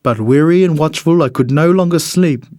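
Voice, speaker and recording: A man reads a rhyming children's storybook in an expressive, measured storytelling manner. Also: on the high side, dry recording, clean studio sound. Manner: expressive, measured storytelling in the character of a bird narrator. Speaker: storybook reader.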